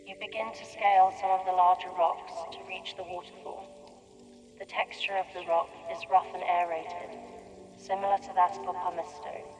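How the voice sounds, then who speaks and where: A woman's voice: lowish voice, little pitch movement, a little mellow, soft background music. Woman narrating meditation, awash in long reverb. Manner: measured, descriptive, reading from a script. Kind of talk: scripted second-person guided meditation narration. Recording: long reverberant hall-like echo.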